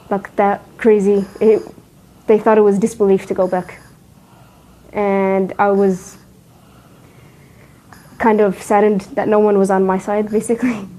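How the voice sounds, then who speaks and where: A woman in her twenties from the United States in an interview, speaking softly and hesitantly and often saying "basically". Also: mid-range voice, rough recording. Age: 20s. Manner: soft, hesitant, repeats "basically". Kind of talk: recorded interview.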